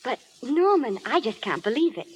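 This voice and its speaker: An actress playing a sweet, earnest, devoted young Hollywood bride, soft and sincere in old radio drama style. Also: female voice, a little high, sing-song, normal speed, slight background noise, poor audio. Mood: innocent, bemused. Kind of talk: 1930s-40s radio drama acting. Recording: old radio recording with music.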